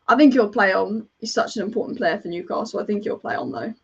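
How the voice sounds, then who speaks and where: A woman's voice: mid-range voice, fast talker, slightly rough, dull audio. A woman commentating a football match as a fan on a live watch-along, chatty and partisan, rambling and reacting to the play as it happens.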